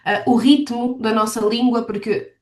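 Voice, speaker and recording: A woman's voice: a little high, steady tone, moderate pace. A woman with a European Portuguese accent giving conversational interview answers as a language teacher, explaining things and acting out quotes. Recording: roomy, echoey sound.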